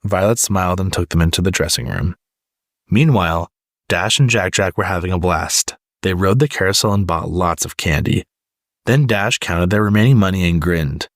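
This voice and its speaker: Male storybook narrator, lowish pitch, close dry recording, reading aloud for young children. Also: moderate pace, a bit bright, no echo. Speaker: storybook reader.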